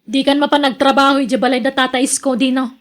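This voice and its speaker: A woman's voice: high voice, fairly even intonation, fast, lightly acted. A woman with an Ilocano accent playing a jealous, nagging wife in a radio drama, accusing and sarcastic. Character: jealous, nagging, suspicious wife of a working man.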